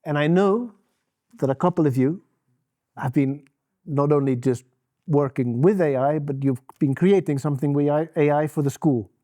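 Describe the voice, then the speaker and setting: A man interviewing students, asking questions in a relaxed, conversational way. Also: a little high, normal speed.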